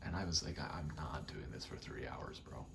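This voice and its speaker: A man softly rambling in a casual ASMR style, breathy and often whispering, sweary and self-deprecating. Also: extremely low pitch, animated, fairly quick. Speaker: video creator rambling to camera. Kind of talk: soft-spoken ASMR ramble. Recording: clear background noise, rattling vent. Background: rattling vent noise.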